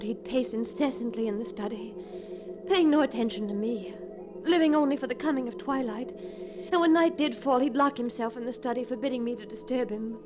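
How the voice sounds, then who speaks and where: An actress playing an anxious, pleading wife in a ghost story, with earnest melodramatic 1940s radio acting on an old broadcast recording. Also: female voice, a little high, close to an everyday voice.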